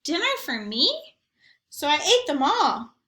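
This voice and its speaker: A woman voicing an eager, naive, mischievous young dog telling his own picture-book story in an expressive, earnest way. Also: high-pitched, slow pace, roomy sound, voice pushed up, heavily acted. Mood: relieved. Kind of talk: first-person picture book narration.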